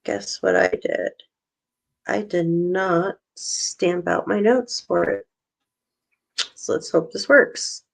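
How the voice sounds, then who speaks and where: Slow-talking woman rambling casually. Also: lowish voice, creaky. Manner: rambling, filler-heavy, squeamish. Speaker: co-host. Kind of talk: casual vlog chat reading news aloud.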